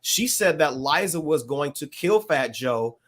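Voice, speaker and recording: A man with a somewhat high voice speaks as a podcast panelist. He recounts things casually and haltingly, adding careful caveats as he goes. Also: animated, fairly bright tone. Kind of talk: casual gossip recap.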